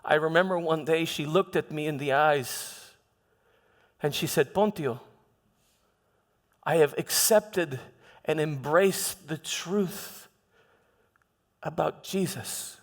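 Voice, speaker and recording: A man playing an aging, haunted Roman governor, delivering a reflective, dignified dramatic monologue in measured, halting phrases. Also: on the high side. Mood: reminiscing. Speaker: performer in a church dramatization. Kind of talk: dramatic first-person monologue. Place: large church hall. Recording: long hall reverb.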